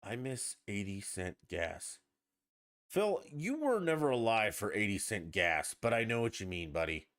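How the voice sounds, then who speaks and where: A man's voice: fairly low pitch, very animated, unhurried, fairly bright tone. A man hosting a podcast on his own, rambling and ranting with plenty of swearing. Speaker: podcast host. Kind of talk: casual solo podcast chat.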